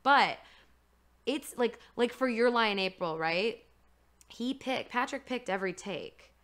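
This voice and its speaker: A woman's voice: fairly high pitch, animated, measured pace, a bit bright. A woman voice actor talking candidly in a casual livestream chat, with lots of "like" fillers.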